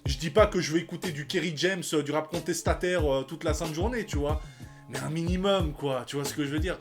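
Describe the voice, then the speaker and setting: Guy in his 30s reacting to a track, slangy, sighs a lot, bit high voice, music going under him. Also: a little fast. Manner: slangy, hesitant, many sighs and fillers. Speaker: reaction channel host. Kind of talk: casual reaction commentary.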